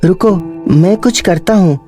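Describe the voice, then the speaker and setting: A woman's voice: lowish voice, measured pace, warm tone, faint music behind, natural voice. A woman voicing a kind, humble working boy, an earnest older brother in a cartoon story. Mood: concerned.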